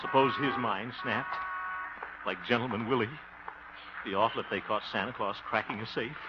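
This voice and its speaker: An actor playing a blustery, trusting, soft-hearted small-town man in a 1950s American radio comedy, flustered and wisecracking, with an American accent. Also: medium pitch, moderate pace, loud music behind the voice, close to an everyday voice. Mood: worried muttering. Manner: flustered, wisecracking, exclaiming with nervous chuckles. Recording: muffled, noisy 1950 radio broadcast.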